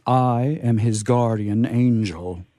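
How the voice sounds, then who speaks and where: A man's voice: mid-range voice, slow, dark tone, no echo, clean studio sound, close to an everyday voice. A man acting a courteous, solemn guardian angel in a comedy radio play, formal and stately. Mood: grandly polite.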